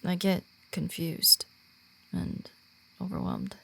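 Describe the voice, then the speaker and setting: Actress as earnest female werewolf guide for roleplay audio: heavy vocal fry, unhurried to slow delivery, soft, hesitant, shy. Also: sits low, wildly swooping pitch, exaggerated voice, low-quality recording. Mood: wistful, shy. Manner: hesitant, halting, awkward when personal. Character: shy, earnest born werewolf woman guiding a newcomer. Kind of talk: roleplay audio drama, softly spoken to the listener.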